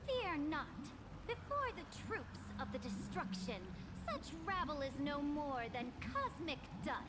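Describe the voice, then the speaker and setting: Proud game monarch, female: high, sweeping delivery, grand and deliberate, echoing under loud music. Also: big pitch swings, heavy background noise. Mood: rousing, triumphant. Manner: regal, declamatory, deliberate. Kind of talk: theatrical video game voice acting.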